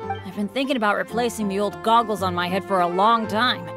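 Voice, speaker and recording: A woman voicing an unlucky, self-effacing teenage boy adventurer in a video game, earnest and hesitant, quick to get excited. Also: medium pitch, lightly acted. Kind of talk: English video game character dialogue. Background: game music.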